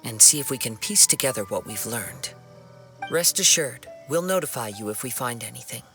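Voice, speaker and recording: A man playing a polite, composed young investigator in a video game, speaking formally and deliberately as he reasons. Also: medium pitch, lively intonation, pushed and bright, soft background music. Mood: reasoning.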